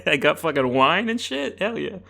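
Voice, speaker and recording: A man's voice: a little high, very animated, unhurried, creaky, sharp tone. A young man hosting a casual comedy podcast, bantering with profane, joking exaggeration. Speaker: podcast host.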